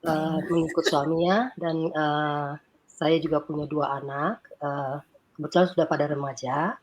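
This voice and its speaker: A woman's voice: low voice, fairly even intonation, normal speed. A woman from Jakarta, a guest introducing herself briefly with hesitations. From Jakarta, Indonesia.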